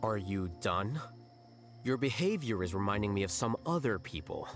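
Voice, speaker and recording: An actor playing an aloof, unbothered young scholar in a video game, curt and deadpan. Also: male voice, lowish voice, moderate pace, music in the background, natural voice. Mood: dismissive. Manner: deadpan, matter-of-fact.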